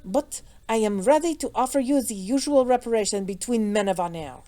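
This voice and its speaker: A woman's voice: fairly high pitch, brisk, warm tone, lightly acted. A woman voicing a proud, hot-tempered young French nobleman who speaks earnest, formal broken English with a French accent.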